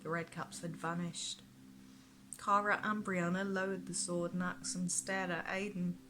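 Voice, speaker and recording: A woman author reading her own fantasy novel aloud as expressive audiobook narration. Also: fairly low pitch, a little slow, background noise. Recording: prominent music behind the narration. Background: music.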